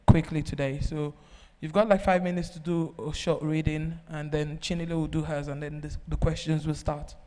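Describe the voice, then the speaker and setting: A man moderating a book festival panel, brisk and organizing as he introduces the authors. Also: a little high, flat delivery, a little mellow. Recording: reverberant festival hall.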